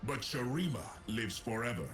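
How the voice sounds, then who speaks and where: A man's voice: mid-range voice, animated, moderate pace, fairly bright tone, faint music behind, close to an everyday voice. A man playing a grim, portentous warlord in a video game. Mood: menacing, solemn. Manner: solemn, portentous. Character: grim, menacing warlord of doom and death.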